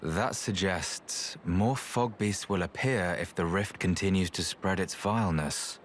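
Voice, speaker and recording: A man's voice: sits low, measured pace, a little hiss or hum. A man playing a polite, earnest scholar in a fantasy video game, deferential and formal in his explanations. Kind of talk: video game cutscene acting.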